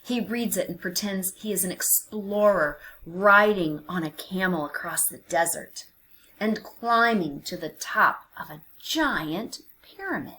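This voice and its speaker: A woman narrating a picture book for young children, expressive and deliberate, with dramatic emphasis and hushed phrases. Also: mid-range voice, rough recording. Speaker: children's read-aloud narrator.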